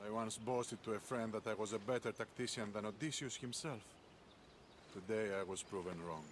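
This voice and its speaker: Low-voiced humbled general played by a man, noisy surroundings. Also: normal speed, close to an everyday voice. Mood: humbled, courteous. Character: humbled, good-humoured Greek general, a soldierly man. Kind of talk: video game cutscene acting. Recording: noisy outdoor scene ambience.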